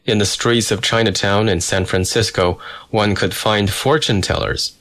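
Low-voiced man reading a memoir. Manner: measured, steady storytelling. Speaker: narrator. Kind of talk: first-person memoir reading.

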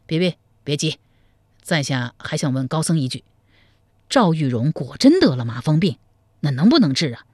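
A man's voice: a little high, big pitch swings, darkish tone, no room sound, clean studio sound. A man doing a fretful, gullible young suitor. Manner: quick, fretful pleading. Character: anxious young male suitor, gullible, hot-tempered. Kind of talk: storytelling, acted dialogue.

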